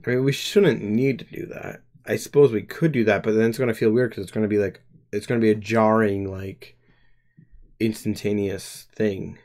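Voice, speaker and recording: A man in his twenties, live streaming as a game developer and thinking aloud while he codes, hesitant, with many pauses and restarts. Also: on the low side, steady tone, darkish tone. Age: 20s.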